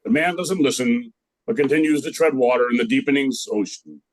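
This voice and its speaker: A man's voice: medium pitch, brisk, dry recording, poor audio. An older man narrating a scripted radio play as its storyteller.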